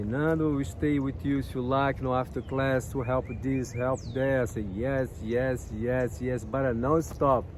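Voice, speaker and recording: Earnest Brazilian man, halting English. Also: mid-range voice, a little mellow, slight background noise. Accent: Brazilian Portuguese. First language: Portuguese. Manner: earnest, repeats "you know what I mean", halting restarts. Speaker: massage therapist sharing life lessons. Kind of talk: casual personal talk to viewers.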